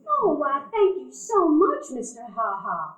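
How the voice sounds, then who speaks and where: A woman's voice: sits high, wildly swooping pitch, measured pace, fairly bright tone, exaggerated voice. An actress playing a shy, sweet elderly country woman who bakes, polite and eager in a live stage radio play. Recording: echoey room, live audience.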